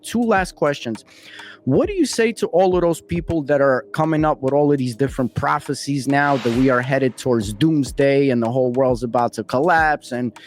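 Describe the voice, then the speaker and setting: A man's voice: medium pitch, moderate pace, slight background noise. A man hosting an interview, asking questions in a loose, slangy way. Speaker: interview host.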